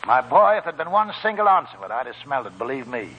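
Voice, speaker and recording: An actor playing a shrewd, folksy old American gold prospector in a 1940s radio drama. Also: male voice, medium pitch, sing-song, quick pace, bright, forceful tone, slightly rough, full character voice, poor audio. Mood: sly, folksy. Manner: folksy, chuckling.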